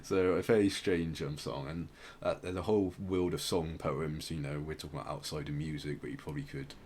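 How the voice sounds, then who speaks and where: A man's voice: rumbling low, fairly even intonation, a little fast, a little mellow, a little hiss or hum. A man hosting a podcast, casually explaining music in a hesitant, rambling way. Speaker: podcast host.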